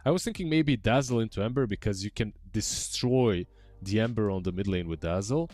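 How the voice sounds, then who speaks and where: A young man casting an esports match, chatting in a relaxed way and often trailing off mid-sentence. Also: on the low side, brisk, dry recording. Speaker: esports caster. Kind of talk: casual esports commentary.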